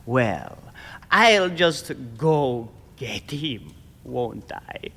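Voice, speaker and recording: An actor playing an insolent bandit henchman in an audio drama, with a husky, rough voice and a sarcastic, jeering manner. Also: medium pitch, sing-song, very slow, a bit bright, exaggerated voice. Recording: long reverb.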